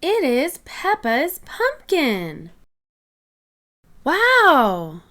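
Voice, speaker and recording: A woman reading a picture book aloud to young children, expressive, with clearly separated phrases. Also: on the high side, slow. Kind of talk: animated read-aloud storytelling for young children.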